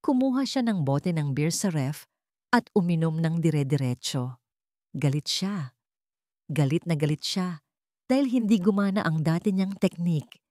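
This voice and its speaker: A woman's voice: sits low, expressive, measured pace, long pauses, darkish tone, dry recording, studio quality. A woman with a Filipino accent narrating a scripted dramatic story. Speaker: audiobook narrator.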